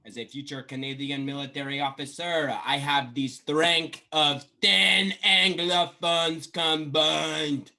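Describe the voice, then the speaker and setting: A man's voice: fairly high pitch, steady tone, slow, hardly any pauses, sharp tone, heavily acted. A man playing a boastful young military cadet with a put-on Québécois French accent. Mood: blustering. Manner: boastful, blustering. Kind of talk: comedy sketch acting.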